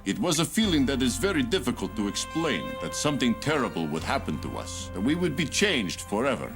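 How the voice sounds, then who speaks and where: Expressive, solemn starship captain. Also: male voice, mid-range voice, music in the background. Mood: reflective. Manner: formal, measured, solemn. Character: grave, courteous male starship captain. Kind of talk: dramatic animated science fiction dub acting.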